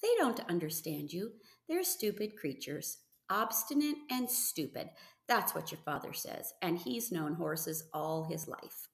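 A woman's voice: on the low side, sing-song, unhurried, vocal fry, roomy sound, exaggerated voice. A woman voicing a worried farm mother in a storybook reading, admonishing and weary with her teenage son. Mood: dismissive.

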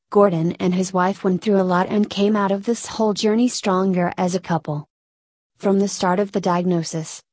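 A woman narrator reads a scripted feature story in a measured way. Her voice is mellow and relaxed, sitting at a middle pitch, and the sound is very dry with no room around it. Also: dry recording.

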